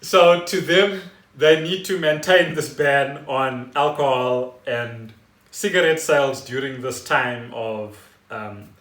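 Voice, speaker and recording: A man's voice: medium pitch, slow, a bit bright. A South African man co-hosting a casual podcast, explaining things in a wry, conversational way. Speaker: podcast co-host. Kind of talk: casual chat explaining news. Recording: roomy, echoey home recording.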